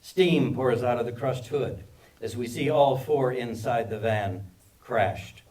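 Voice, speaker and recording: A man's voice: mid-range voice, slow, a little mellow. A man narrates screenplay action at a live reading, picked up from a little distance. His voice is mid-range, and he delivers the scene headings and directions as scripted narration. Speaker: stage-direction reader. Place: live table read at a film festival.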